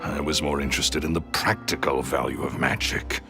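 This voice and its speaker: An actor playing a bitter, vain, greedy magician thief in a video game, theatrical and sneering. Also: male voice, very deep voice, fairly even intonation, normal speed, vocal fry, over music. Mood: smug, defiant.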